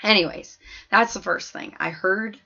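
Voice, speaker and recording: A woman's voice: medium pitch, normal speed, creaky, sharp tone. Casting: woman to play an observant, plain-talking fourth-grade girl narrating an audiobook, folksy and earnest, mid-range voice, slightly lo-fi sound. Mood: chatty, matter-of-fact. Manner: chatty, folksy, emphatic childlike storytelling. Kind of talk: scripted first-person story narration.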